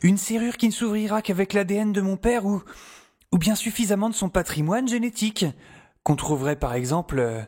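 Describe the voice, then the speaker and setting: A man's voice: high voice, a little fast, darkish tone. A man playing a young professor, the wry and hesitant hero of an audio drama, who talks colloquially and narrates his own story. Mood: wry, confiding. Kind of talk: audio drama dialogue and first-person narration.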